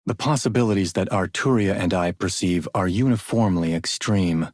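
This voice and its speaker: Solemn, husky low-voiced gentleman. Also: normal speed, dry recording, studio quality. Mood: grave. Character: solemn, formal, courteous man with measured, stately speech. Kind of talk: video game voice line acting.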